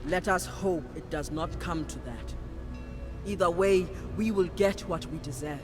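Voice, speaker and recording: An actress playing a solemn, devout serpent priestess in a game cutscene, speaking in a measured, ceremonial manner. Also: female voice, fairly low pitch, natural voice. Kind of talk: dramatic game cutscene acting. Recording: reverberant hall with faint music behind.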